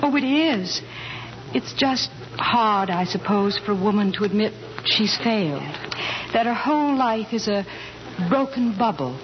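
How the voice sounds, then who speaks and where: A woman's voice: mid-range voice, lively intonation, unhurried, lightly acted. Woman playing sly, sweet 1940s radio wife, muffled. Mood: sweetly martyred. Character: sly pretend wife, sweetly martyred, playfully stubborn. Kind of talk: 1940s radio comedy acting. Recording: muffled, faintly noisy 1940s radio recording.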